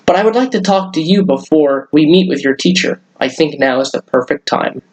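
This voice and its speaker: A concerned mother in a children's storybook as a man reads her. She stands by her schoolboy son. The voice is slightly high for a man. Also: lively intonation, fairly quick, natural voice, poor audio. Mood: gentle firm.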